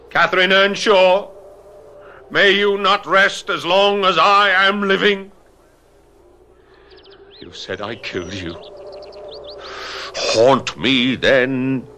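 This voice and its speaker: A man's voice: a little high, sharp tone. An actor playing a cruel, brooding, passionate man in a theatrical, menacing and anguished radio drama style. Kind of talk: 1970s radio drama acting.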